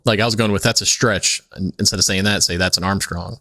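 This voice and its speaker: A man co-hosting a podcast with casual, comedic chat, rambling and halting as he tells stories. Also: sits low, steady tone, pushed and bright, dry recording. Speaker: podcast co-host.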